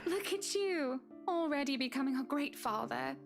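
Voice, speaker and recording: A woman playing a cheerful, soothing young pregnant wife who gently chides, in video game dialogue. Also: sits high, animated, moderate pace, vocal fry, faint music behind. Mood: soothing, teasing. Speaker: voice actor in a visual novel game.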